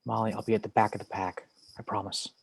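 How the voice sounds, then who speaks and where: A man playing a weary, reluctant former crime investigator in an understated, measured script read. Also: lowish voice, level tone, vocal fry, slightly rough, dry recording. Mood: reassuring. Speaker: actor in a table read.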